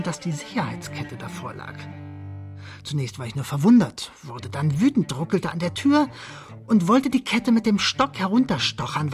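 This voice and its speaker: An actor playing a proud, irritable man in a radio play, speaking and narrating in a wordy, dry, articulate way. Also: high-pitched. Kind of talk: radio play acting and first-person narration.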